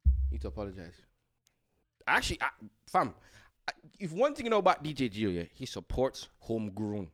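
A young man co-hosting a casual podcast, talking fast and teasing, laughing often. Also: medium pitch, big pitch swings, a bit bright. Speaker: DJ and podcast co-host.

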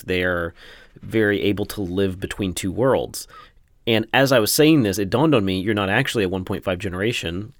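A man's voice: low voice, no room sound. A man hosting a podcast interview, explaining things earnestly for the listeners. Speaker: podcast host. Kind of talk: conversational interview.

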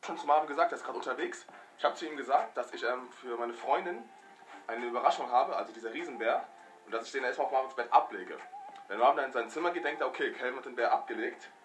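Hoarse young man with a cold. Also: mid-range voice, fast talker, a little hiss or hum. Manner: energetic, slangy, addresses viewers directly. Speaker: prank video creator. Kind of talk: casual prank vlog talking to viewers. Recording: music playing behind voice.

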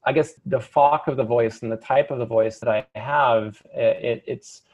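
A young man, an opera tenor, answering interview questions in a thoughtful, halting way with many restarts. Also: medium pitch, fairly even intonation, darkish tone. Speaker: opera singer, light lyric tenor. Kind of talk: interview conversation.

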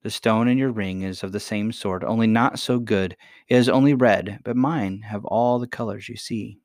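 A man voicing a kindly, mysterious grandmother in a fairy tale, gentle, patient and reassuring. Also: fairly low pitch, normal speed, no room sound, studio quality, lightly acted. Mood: calm. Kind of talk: acted dialogue in a children's fairy tale reading.

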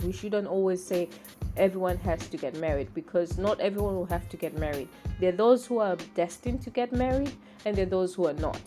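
Low-voiced young Tanzanian woman over prominent music. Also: darkish tone. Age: young adult. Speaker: podcast host. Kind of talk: casual opinion monologue.

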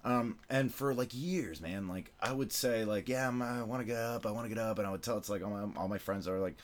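A man's voice: lowish voice, fairly quick, slight background noise. Rambling man with a Midwestern accent. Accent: American Midwestern. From Venezuela. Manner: rambling, heavy on filler words, swears casually. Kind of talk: casual chat.

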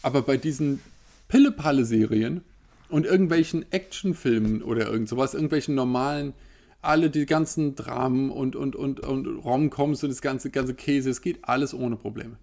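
A man in his thirties ranting in a casual, animated way, firing off rhetorical questions. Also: mid-range voice, moderate pace, vocal fry. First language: German. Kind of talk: casual opinion monologue.

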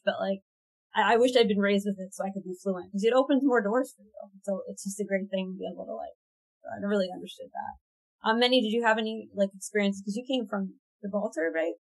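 A woman's voice: medium pitch, steady tone, quick pace, slightly rough, low-quality recording. A woman hosting a podcast, chatty and casual, peppering her talk with "like". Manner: chatty, frequent "like". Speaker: podcast host and actor.

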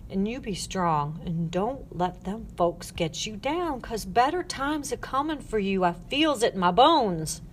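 A woman's voice: mid-range voice, lively intonation, a little slow, few pauses, lightly acted. Folksy old Southern US lady played by a woman, protective storybook elder, noisy recording. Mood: tender, sad. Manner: soothing, folksy dialect, firm when protecting. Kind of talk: audiobook character voice.

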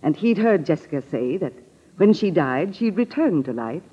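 An actress playing a grave, uneasy woman with a solemn, deliberate delivery in a 1940s radio drama. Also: on the low side, very animated, breathy edge. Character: grave, uneasy woman haunted by her suspicions. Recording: muffled, reverberant old-time radio recording.

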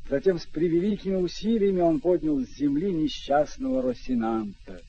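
A man's voice: a little high, a little fast, breathy edge. A man acting as the narrator of a classic radio play, telling the story in a vivid, theatrical manner. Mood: wry, subdued. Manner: animated, theatrical. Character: vivid, theatrical male storyteller addressing gentlemen. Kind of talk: dramatic scripted radio narration. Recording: dull old radio recording with music.

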